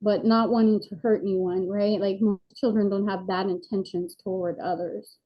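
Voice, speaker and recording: A woman in her forties talks earnestly and reflectively as an interview guest, pausing on fillers. Her delivery stays flat and even, and the sound is dull and lo-fi, as over a video call. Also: mid-range voice. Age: 40s. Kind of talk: candid personal interview.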